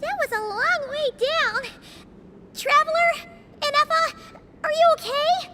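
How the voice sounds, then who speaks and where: Squeaky, tiny game fairy. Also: female voice, takes it slowly, background noise. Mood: worried. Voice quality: squeaky, childlike. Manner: fretful, exclamatory. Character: tiny, excitable floating fairy companion, childlike. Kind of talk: video game voice acting. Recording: loud music behind the voice.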